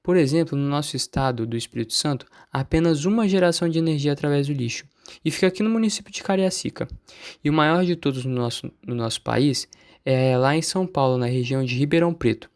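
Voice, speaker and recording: A man's voice: on the high side, fast, a little mellow, natural voice. A man with a Brazilian accent playing a studious, curious student who explains things like a school presentation in an amateur radio play. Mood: earnest, explanatory. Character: studious, curious male student answering teacher. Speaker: student in class.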